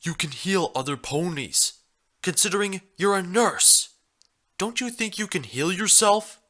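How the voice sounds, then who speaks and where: A clueless stallion from a pony story, put on by a man, stubborn and puzzled. He pesters with insistent questions in a raised, sharp, bright voice. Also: high voice, animated, measured pace, pitched above the performer's own voice, heavily acted.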